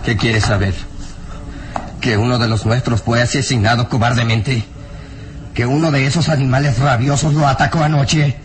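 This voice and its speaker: An actor playing a burly, frightened and bitter peasant villager in a melodramatic radio drama, anguished, emphatic and halting. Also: male voice, mid-range voice, steady tone, a little hiss or hum, close to an everyday voice. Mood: horrified, agitated.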